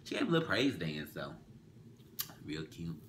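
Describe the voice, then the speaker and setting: A young man co-hosting a casual chat show, teasing with quick comebacks and often dropping to a murmur, recorded in a small bedroom. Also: deep voice, sing-song, a little hiss or hum. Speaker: co-host.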